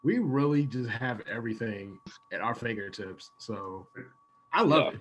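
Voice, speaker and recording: A man chats on a podcast in an informal way. His middle-pitched voice has a husky, rough texture. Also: animated, unhurried, lo-fi sound. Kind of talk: casual podcast chat.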